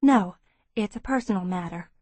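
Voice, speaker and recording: A woman voicing a bold, persistent younger woman with crisp, businesslike speech in an audiobook. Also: medium pitch, normal speed, mellow, no room sound, natural voice. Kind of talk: audiobook character dialogue.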